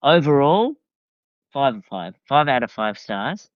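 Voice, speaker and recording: A man chatting casually as a podcast guest, giving opinions in a halting way and repeating phrases. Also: medium pitch, very animated, dry recording. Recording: dull, lo-fi voice track.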